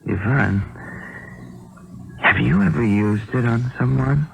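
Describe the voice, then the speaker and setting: A man's voice: medium pitch, slow, creaky, background noise. A man acting in an old radio drama as a naive, proud young Russian farm lad, plain-spoken and touchy, on an old broadcast tape. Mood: uneasy. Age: young adult.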